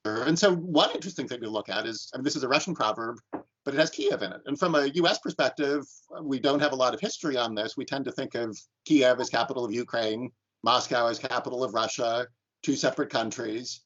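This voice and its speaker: A man's voice: medium pitch, brisk, a bit bright, lo-fi sound. A man instructor teaching an online class and leading discussion, talking thoughtfully with frequent restarts and ums.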